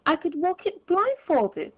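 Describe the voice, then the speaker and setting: A woman voicing an excitable old man in a storybook reading, fervent and full of exclamation. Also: very high-pitched, measured pace, phone-quality sound, pitched above the performer's own voice, full character voice.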